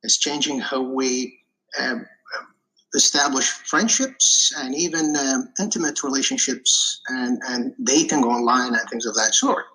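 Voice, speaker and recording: A man in his forties, born and raised in Iraq, answering interview questions in a casual, halting way with many fillers and restarts. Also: mid-range voice, sharp tone, poor audio. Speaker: podcast guest.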